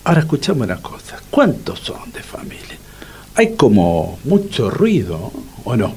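Man playing a grumbling, animated Argentine cook. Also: mid-range voice, measured pace, a little hiss or hum. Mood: grudging sympathy. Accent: Argentine Rioplatense. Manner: exasperated, complaining. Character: grumbling, exasperated, soft-hearted male empanada cook. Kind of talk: comedic radio theatre acting.

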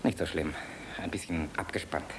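Low-voiced, weary fighter pilot, acted by a man. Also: steady tone, moderate pace, noisy background, close to an everyday voice. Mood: tender, tired. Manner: soft, hesitant, reflective. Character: weary, tender, doubting male fighter pilot, husband. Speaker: actor. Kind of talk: German radio drama acting.

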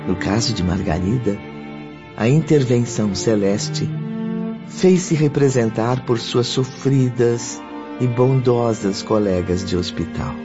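An actor as the solemn, compassionate narrator of a radio drama, grave, formal and measured. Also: male voice, mid-range voice. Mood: reverent. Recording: dull radio drama mix over music.

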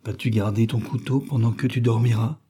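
A man voicing a gentle, frightened little girl who talks in an anxious, pleading way. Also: medium pitch, fairly even intonation, brisk, breathy edge, natural voice.

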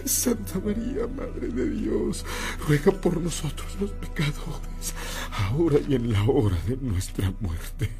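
Slow, solemn Mexican priest. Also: male voice, on the high side, lively intonation, mellow, faint music behind. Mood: anguished prayer. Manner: solemn, deliberate, heartfelt. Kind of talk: radio drama acting.

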